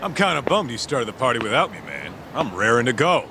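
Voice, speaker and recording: A man's voice: mid-range voice, sing-song, fast talker. A man playing a brash, loyal street brawler in a video game cutscene, talking in cocky slang. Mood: eager. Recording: noisy city street ambience behind.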